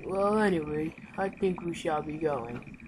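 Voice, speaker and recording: A woman's voice: deep voice, measured pace, background noise. A woman playing a haughty, easily bored male cat god of destruction in an amateur game roleplay, with an imperious, dismissive manner.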